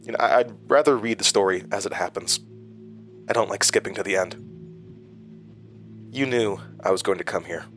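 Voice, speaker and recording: An actor playing a haunted, brooding man who narrates his horror story in an audio drama, halting and deliberate. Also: lowish voice, a bit bright, faint music behind. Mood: defiant. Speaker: narrator and main character.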